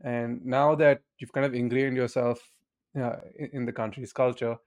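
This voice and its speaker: A man's voice: medium pitch, flat delivery, normal speed, a little mellow. Conversational male co-host asking questions. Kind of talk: casual interview questions.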